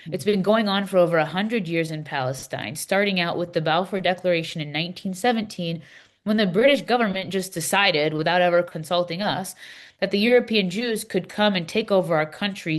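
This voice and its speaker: A woman performs an impassioned Palestinian woman in a scripted readers theater dialogue. Her voice sits a little low for a woman. She makes her case earnestly, in emphatic, declarative statements. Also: moderate pace, close to an everyday voice. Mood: earnest, indignant. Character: impassioned Palestinian woman explaining a church document.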